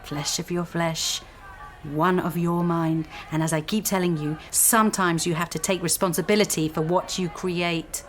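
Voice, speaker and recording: A woman's voice: lowish voice, fairly even intonation, moderate pace, a little hiss or hum, natural voice. An actress playing a bitter, intense young woman, a scorned lover pressing her point insistently in a naturalistic radio drama. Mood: bitter, needling.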